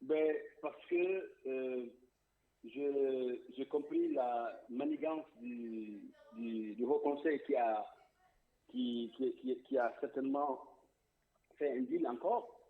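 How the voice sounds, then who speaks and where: A man's voice: medium pitch, expressive, slow. A man speaking as a diaspora representative on a political radio show, outspoken and emphatic, sometimes repeating words as he thinks. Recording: tinny phone-line sound.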